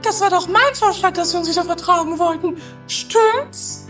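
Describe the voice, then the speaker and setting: Very high-pitched, quarrelsome dramatic sister played by a woman. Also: normal speed, music in the background. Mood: bickering. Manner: theatrical, bickering. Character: quarrelsome, dramatic woman, one of two castle-dwelling sisters. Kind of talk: amateur comedy radio play acting.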